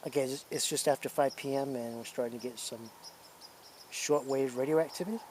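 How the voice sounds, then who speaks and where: A man's voice: mid-range voice, fairly even intonation, brisk, a little mellow, slight background noise. A man presenting as a radio hobbyist, giving casual hands-on demonstration commentary.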